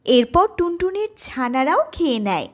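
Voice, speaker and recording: A woman's voice: high voice, big pitch swings, normal speed. A woman reading scripted narration for a children's cartoon tale. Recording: loud music over the voice.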